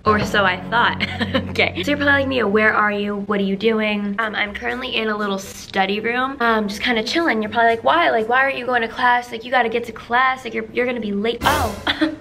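A young woman with a raspy, strained voice, chatting casually to a handheld camera in a small room, animated and full of fillers. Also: fairly high pitch, fairly quick, noisy background. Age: young adult. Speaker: vlogger. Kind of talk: casual vlog chat to camera. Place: small indoor rooms and study room. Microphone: handheld camera at arm's length.

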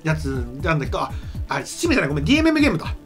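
Fast-talking, animated middle-aged man. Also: on the high side, faint music behind. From Nagano, Japan. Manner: rambling, searching for words. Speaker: show co-host, game writer. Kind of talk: casual chat. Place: small indoor studio set. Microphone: desk microphone on arm.

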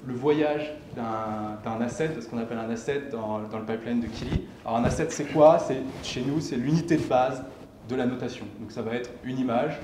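A young man giving a technical presentation at a meetup in a halting, explanatory way, posing questions and answering them himself. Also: mid-range voice. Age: young adult. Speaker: tech meetup speaker presenting his company's software. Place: indoor lecture room, at a lectern. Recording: echoey room with clear background noise.

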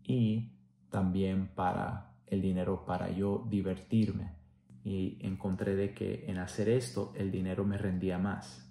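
A man with a deep, gravelly voice presents himself and his own experiences to camera. His tone is dark and mellow. The room around him echoes. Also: little pitch movement, a little slow. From United States. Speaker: employee volunteer presenter. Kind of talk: personal introduction and anecdote to camera. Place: small room at home, indoors.

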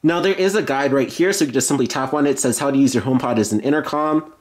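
A man's voice: medium pitch, very fast. A man giving an informal, explanatory product demo as a tech reviewer.

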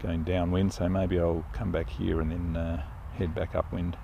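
An older man flying a model plane in an open field outdoors, giving relaxed, enthusiastic commentary as he flies. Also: deep voice, normal speed, creaky, muffled recording, a little hiss or hum. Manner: relaxed, enthusiastic, thinking aloud while flying. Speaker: RC pilot reviewing a plane. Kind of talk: casual live flight commentary.

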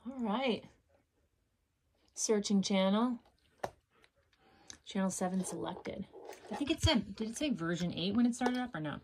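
A woman speaks in a patient, encouraging way, chatting casually at home. Her pitch is average, and clear noise runs through the handheld camera recording. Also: lively intonation, a little slow, pauses often. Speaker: parent helping a child. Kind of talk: casual chat during a home unboxing. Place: small cluttered carpeted room at home. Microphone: handheld camera mic.

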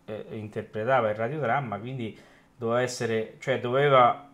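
A man's voice: medium pitch, fairly even intonation, normal speed, fairly bright tone, highs cut off. Male radio host, medium register, informal discussion style, hesitant phrasing.

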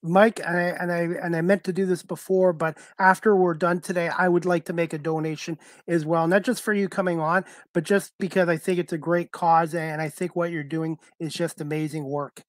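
A middle-aged man with a Canadian accent, hosting a casual interview in a halting way over a headset. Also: fairly high pitch, little pitch movement, dry recording. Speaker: talk show host, interviewer. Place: indoors, on a video call.

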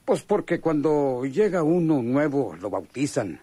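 A husky, unhurried voice with a Mexican accent. He is a sly, hardened convict playing the good pal. His talk is slangy and hesitant, close and dry in sound. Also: on the high side, very animated, dark tone, dry recording, full character voice. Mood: sly, confiding. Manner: slangy, hesitant drawl with knowing chuckles. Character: chummy, sly male convict, a hardened killer. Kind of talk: radio drama acting.

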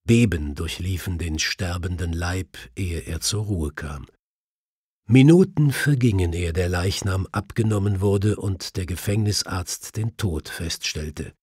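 A man with a husky voice narrating a detective story audiobook as scripted reading. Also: low voice, measured pace, darkish tone, no echo, studio quality.

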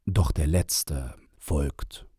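A man narrating a storybook in verse as an audiobook reader, measured and with dramatic pauses. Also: extremely low pitch, dark tone, slightly rough, no room sound, clean studio sound.

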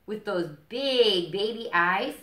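An affectionate little woodland animal from a storybook, played by a woman, gushing in a sugary coo. The pitch is lifted and the delivery drawn out, in a roomy space. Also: lively intonation, slow, sharp tone. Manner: sugary cooing.

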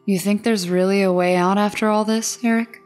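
A woman's voice: medium pitch, fairly even intonation, brisk, a little mellow, lightly acted. An actress playing a tired, teasing woman companion with dry sarcasm in a horror audio drama. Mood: doubtful, tired. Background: music behind the voice.

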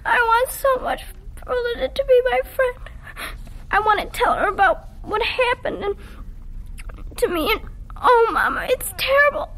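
Very high-pitched sobbing little girl. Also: lively intonation, normal speed, long pauses, bright, forceful tone, slight background noise, exaggerated voice, rough recording. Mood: despairing. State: crying, sobbing. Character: heartbroken, pleading little girl.

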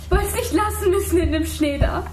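Woman acting a haunted defiant soldier girl in an opera piece, super high voice, echoey room. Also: loud music behind the voice. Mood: anguished. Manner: slow, theatrical delivery. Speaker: performer in a student music theatre piece. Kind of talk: experimental opera stage declamation. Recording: echoey room, faint noise.

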